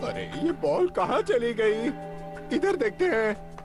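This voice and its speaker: A woman's voice: on the high side, very animated, takes it slowly. A woman voicing a bossy, sly schoolboy in a dubbed cartoon, with music behind. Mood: sly, dismayed. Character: bossy, sly schoolboy, quick to dodge blame. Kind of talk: Hindi-dubbed cartoon acting.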